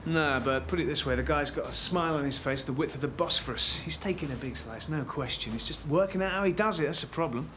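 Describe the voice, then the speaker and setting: An actor playing a chatty, eager British police officer in a TV drama. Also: male voice, fairly high pitch, fast, few pauses, a bit bright, a little hiss or hum, natural voice. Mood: reporting.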